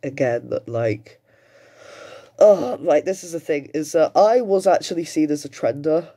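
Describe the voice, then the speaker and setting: A man's voice: fairly high pitch, lively intonation, a little slow, warm tone. A British man in his late twenties giving casual, emphatic, sweary reaction commentary. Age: late 20s. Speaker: commentator reacting to another person's video.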